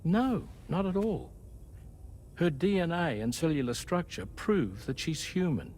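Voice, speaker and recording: An actor playing a calm male scientist who explains things in a measured, matter-of-fact way in a game cutscene. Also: a little high, a little hiss or hum. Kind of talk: video game cutscene acting.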